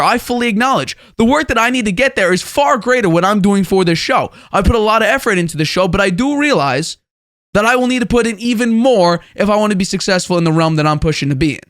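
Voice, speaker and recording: A man's voice: high-pitched, a bit bright, no room sound, clean studio sound. A twenty-year-old man hosting a sports show, giving conversational, opinionated commentary and repeating phrases for emphasis. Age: 20 years old.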